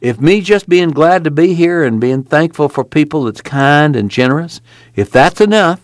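Man acting former preacher, rural American accent, humble, nervous, halting, higher pitch, storybook character. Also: lively intonation, no room sound, clean studio sound, close to an everyday voice. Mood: nervous, earnest.

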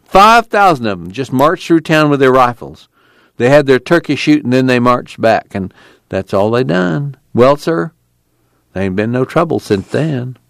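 A man's voice: medium pitch, animated, normal speed, gravelly, a bit bright, no room sound, studio quality, pitched above the performer's own voice. A man voicing a weathered, bearded migrant who spins yarns in a folksy drawl. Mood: storytelling. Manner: folksy yarn-spinning drawl.

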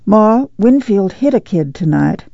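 A woman acts a pestering, eager little farm girl in an audiobook story. The delivery is insistent and childlike, in a mellow, slightly creaky voice. The recording is a bit dull. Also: mid-range voice, big pitch swings, measured pace, no room sound. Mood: tattling.

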